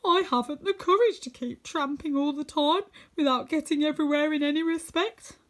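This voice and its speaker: A woman's voice: high-pitched, moderate pace, pitched above the performer's own voice, lightly acted. A woman voicing a timid male lion who whimpers plaintively and feels sorry for himself in a storybook. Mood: whimpering.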